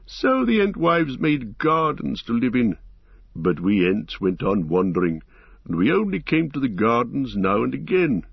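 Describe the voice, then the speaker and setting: A man voicing an ancient, slow-spoken male tree giant, unhurried and musing, with a gravelly, husky voice. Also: mid-range voice, lively intonation, no echo, muffled recording, exaggerated voice. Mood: storytelling.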